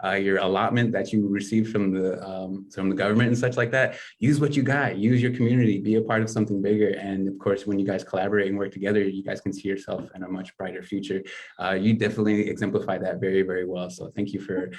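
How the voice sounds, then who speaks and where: Low, monotone male host; earnest and measured with lots of "uh". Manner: measured, earnest, many "uh" fillers. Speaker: podcast host.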